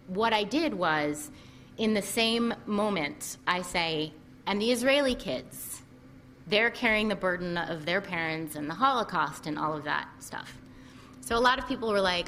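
A woman with a Lebanese-American accent speaks at a book talk with a bright, ringing tone. Her delivery is animated and chatty, full of restarts. A faint hiss sits under the recording. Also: mid-range voice. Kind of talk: casual talk at a reading.

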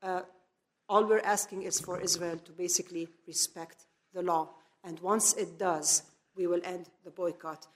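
A middle-aged woman from Lebanon giving a semi-improvised public lecture, phrasing deliberately and stressing key words. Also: lowish voice, steady tone. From grew up in Lebanon. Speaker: speaker, activist lecturer.

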